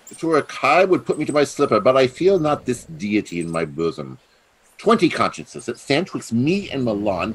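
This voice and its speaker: An actor playing a scheming, treacherous usurping duke in a Shakespearean radio play, theatrical and insinuating. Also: male voice, lowish voice, low-quality recording.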